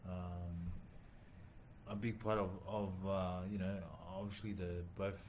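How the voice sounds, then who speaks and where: A man from New Zealand, a boxer, answering interview questions haltingly with many pauses and fillers. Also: low-pitched, little pitch movement, a little hiss or hum. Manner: halting, many ums and uhs, soft-spoken. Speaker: boxer and former rugby league player, guest. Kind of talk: casual interview.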